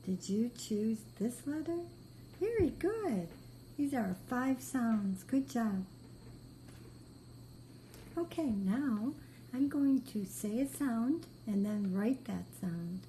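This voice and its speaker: A woman with a very mellow, dark tone leads a beginner phonics lesson at a slow pace. She gives short, patient instructions and repeats her praise often. There is some faint noise in the recording. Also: on the high side, lively intonation, poor audio. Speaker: reading teacher.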